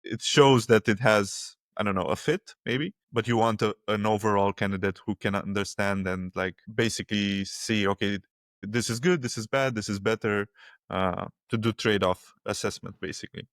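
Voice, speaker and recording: A man's voice: fairly low pitch, steady tone, moderate pace, no room sound, studio quality. A man hosting a podcast interview, talking loosely and conversationally with many fillers. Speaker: podcast host. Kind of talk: casual interview chat.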